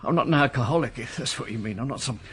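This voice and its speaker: An actor playing a hungover, defensive middle-aged husband in a crime drama, speaking in a halting, weary way. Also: male voice, mid-range voice, a bit bright, slightly rough. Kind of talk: naturalistic crime drama dialogue.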